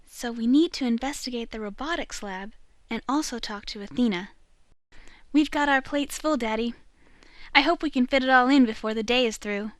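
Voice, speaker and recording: A woman's voice: a little high, moderate pace, dry recording, close to an everyday voice. A woman playing a perky, eager teenage girl in a video game fandub. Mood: determined.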